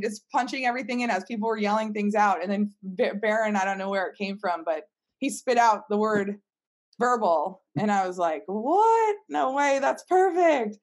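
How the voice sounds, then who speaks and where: Woman founder telling a story casually. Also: a little high. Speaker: startup founder being interviewed.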